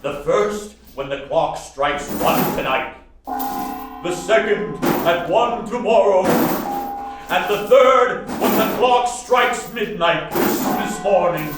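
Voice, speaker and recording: A man acting in a radio play as the doomed, solemn ghost of a dead soldier turned businessman, with a drawn-out theatrical delivery. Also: high-pitched, lively intonation, over loud music. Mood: ominous warning. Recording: echoey, distant stage recording with noise.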